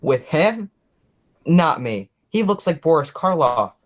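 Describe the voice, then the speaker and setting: A man's voice: on the high side, sing-song, slow. A young man playing a chatty, oblivious policeman who dreams of writing plays, in a radio drama. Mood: alarmed. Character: chatty, oblivious policeman and eager amateur playwright. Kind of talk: radio play acting.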